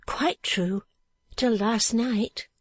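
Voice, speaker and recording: Meek young heroine, read by a woman, childlike, earnest, polite, raised pitch, close dry sound, storybook. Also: extremely slow, slightly rough, no room sound, exaggerated voice. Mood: polite, timid. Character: gentle, small, childlike young woman, meek yet brave. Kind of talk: dramatized audiobook reading of a classic novel.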